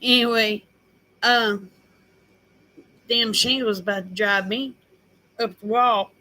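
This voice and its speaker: A woman's voice: a little high, fairly even intonation, takes it slowly, sharp tone, poor audio. An older woman, rambling in a folksy, casual chat with her viewers. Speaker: vlogger.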